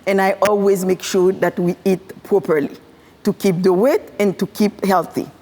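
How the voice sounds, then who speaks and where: A woman's voice: medium pitch, fairly even intonation, moderate pace, warm tone. A woman from Haiti, a physician and farmer, answering interview questions earnestly and repeating phrases in lists. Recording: long hall reverb.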